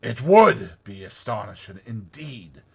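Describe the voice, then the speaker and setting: A man's voice: medium pitch, big pitch swings, a little slow, vocal fry, husky, exaggerated voice. A man voicing a kindly, opinionated badger in a dramatized storybook reading, with an emphatic, declaiming manner. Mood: explanatory. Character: hearty, kindly, opinionated badger, proud of his burrow.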